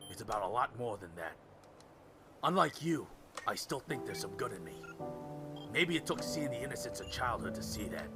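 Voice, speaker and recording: A man's voice: fairly low pitch, very animated, normal speed, music in the background. An actor playing a crime boss's earnest, conflicted henchman in an audio drama, speaking expressively. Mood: resigned, defiant.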